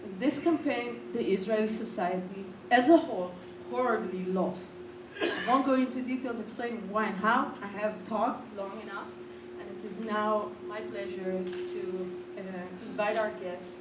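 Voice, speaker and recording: A woman's voice: mid-range voice, normal speed, few pauses, noisy background. A woman moderating an event, delivering a prepared, earnest introduction read from notes. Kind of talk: prepared speech. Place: lecture hall. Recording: echoey hall from far off.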